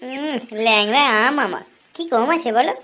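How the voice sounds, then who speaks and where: A woman voicing a sharp-tongued, teasing young girlfriend in a comic cartoon, with a scolding, sarcastic manner. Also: high-pitched, moderate pace. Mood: scornful.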